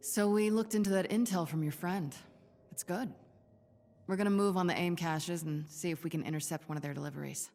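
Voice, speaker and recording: A woman voicing a cool, seasoned spy and mentor in a video game, calm, dry and reassuring. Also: fairly low pitch, fairly quick, long reverb tail, lightly acted. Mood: businesslike.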